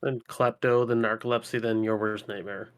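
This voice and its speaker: A man's voice: lowish voice, little pitch movement, moderate pace, clear, resonant tone. A man on a podcast panel chatting casually in a hesitant, questioning way. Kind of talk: casual chat.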